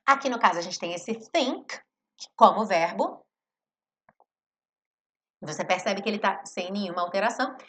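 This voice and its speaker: A woman teaching an English lesson in a live stream, explaining song lyrics and slowing down to read English phrases with emphasis. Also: mid-range voice, a bit bright, a little hiss or hum. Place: home studio, live stream.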